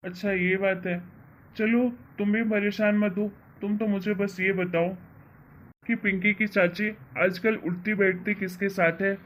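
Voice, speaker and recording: A woman's voice: medium pitch, steady tone, a little slow, a little hiss or hum, natural voice. A woman voicing a caring husband and father in a cartoon family drama, coaxing and reassuring as he asks questions. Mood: reassuring, thoughtful.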